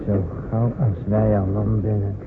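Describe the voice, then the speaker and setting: A man's voice: on the low side, level tone, moderate pace, close to an everyday voice. An actor playing a wary, grumbling male smuggler in a radio drama. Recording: tinny noisy old radio recording.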